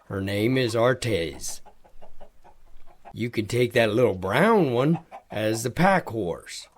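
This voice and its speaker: A man playing a kindly, burly older farmer in an audio drama, gently insistent in manner. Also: medium pitch, lively intonation, slow. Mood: kindly, firm. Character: kindly burly farmer, father of grown son.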